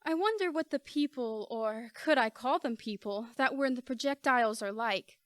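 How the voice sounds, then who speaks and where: A woman playing a brisk, wry, inquisitive newspaperwoman in an amateur radio play. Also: a little high, no echo, clean studio sound, lightly acted. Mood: curious, brisk.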